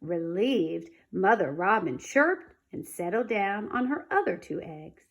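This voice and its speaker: A woman reading a children's storybook aloud in an expressive, dramatic manner. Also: mid-range voice, unhurried, vocal fry. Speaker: storybook reader.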